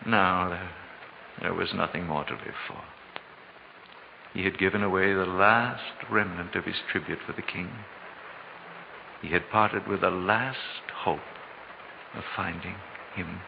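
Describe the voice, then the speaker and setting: A man narrating a 1940s radio drama in a solemn, theatrical, measured way with dramatic pauses. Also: sits low, fairly even intonation, gravelly, slight background noise. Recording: muffled 1940s radio recording. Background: music.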